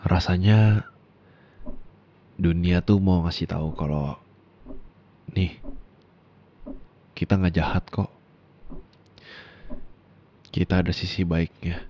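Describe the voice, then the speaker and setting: A man's voice: low voice, steady tone, slow, long pauses, highs cut off, heavily acted. A man playing a tender young boyfriend speaking in a taped message for an ASMR roleplay, heartfelt and teasing. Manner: soft, heartfelt, teasing with laughs.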